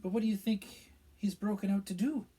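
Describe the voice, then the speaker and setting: A man's voice: sits high, fairly even intonation, brisk, warm tone, breathy edge, voice pushed up. A man voicing a curious female innkeeper who asks eager, gossipy questions. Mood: curious, shocked.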